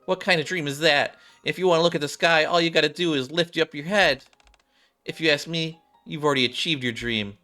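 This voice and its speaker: Man putting on a sneering kid, pitched up. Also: fairly quick, pushed and bright, faint music behind. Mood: mocking. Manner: taunting, dismissive. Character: mocking, sneering child in a crowd of kids.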